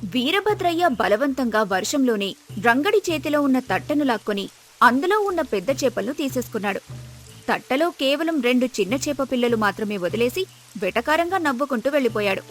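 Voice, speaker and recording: A woman's voice: high voice, very animated, brisk. A woman narrating a scripted moral story as its storyteller. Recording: music behind the voice.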